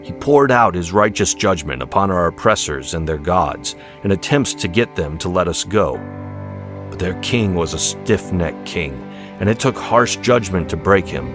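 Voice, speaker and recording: Audiobook role: male narrator as a grave, compassionate spiritual teacher, deep-voiced, measured, with music underneath. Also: animated. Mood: solemn storytelling. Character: solemn, compassionate male religious leader at a holiday feast. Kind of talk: dramatic audiobook character voice. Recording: music behind the voice.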